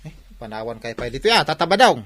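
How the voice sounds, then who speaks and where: A man's voice: mid-range voice, big pitch swings, fast, fairly bright tone. A man acting in a radio drama as a hardworking villager who teases and chides his friend. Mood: scolding. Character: chiding village friend who praises hard work.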